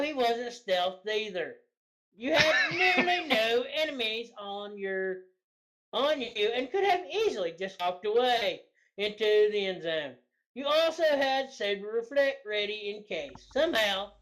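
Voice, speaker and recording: A woman's voice: on the high side, sing-song, unhurried, fairly bright tone, low-quality recording. A woman co-host reading gamer forum posts aloud for comedy, casual and prone to giggling.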